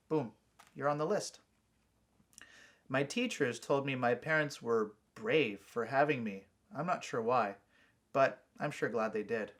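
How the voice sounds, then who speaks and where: Higher-pitched man narrating a short story. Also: fairly bright tone. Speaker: author reading his own short story. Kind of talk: scripted first-person story narration.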